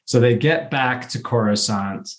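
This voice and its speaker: A man chatting through a casual plot recap as a podcast co-host, telling it in an animated way with acted-out dialogue. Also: medium pitch, unhurried.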